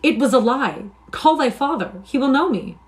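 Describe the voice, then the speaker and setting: A woman's voice: sits high, animated, normal speed, pitched above the performer's own voice. A woman reading the part of an eager young soldier who has just come home, speaking in impassioned, old-fashioned English. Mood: indignant. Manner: impassioned, archaic speech.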